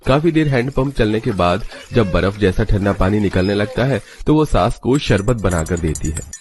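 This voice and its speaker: A man's voice: fairly low pitch, lively intonation, normal speed, a little mellow, slight background noise. Male Hindi story narrator.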